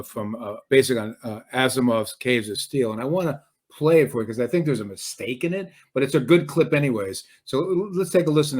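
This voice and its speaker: A man with a medium-pitched voice hosts a casual interview. He stammers into his sentences, repeating the starts of words and pausing before he gets to the point. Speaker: interview host.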